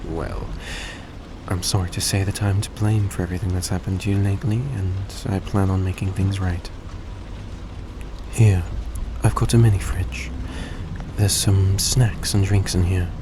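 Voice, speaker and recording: A man playing a suave, tender centuries-old vampire in a roleplay audio drama, speaking in a soft, coaxing, genteel way to the listener. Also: low-pitched, moderate pace, lightly acted. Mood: apologetic, gracious. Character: suave, gracious centuries-old male vampire, young-looking, tender and grateful. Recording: steady background noise, vehicle-like ambience.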